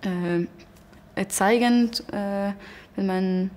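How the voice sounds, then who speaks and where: A woman speaks slowly and hesitantly, pausing to find her words. The room adds a long reverb. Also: mid-range voice, darkish tone. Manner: slow, hesitant. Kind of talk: interview answers.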